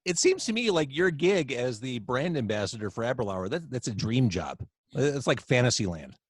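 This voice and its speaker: A man's voice: mid-range voice, moderate pace, slightly rough, no echo, studio quality. A man hosting a casual video chat podcast from home, with a jokey, playful manner. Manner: lively, jokey. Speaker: podcast host. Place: at home in quarantine. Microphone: video call.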